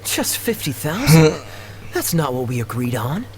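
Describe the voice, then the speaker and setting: An actor playing a carefree, condescending rich gambler in a video game, with a nonchalant, teasing manner. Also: male voice, a little high, very animated, a little slow, background noise. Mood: smug, firm. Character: smug, carefree rich male gambler, breezily taunting.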